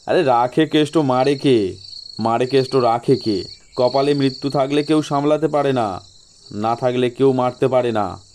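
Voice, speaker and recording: A man playing a sly local gentleman in an audio story, giving ominous ghost warnings with feigned reluctance. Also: medium pitch, unhurried, a little hiss or hum, natural voice.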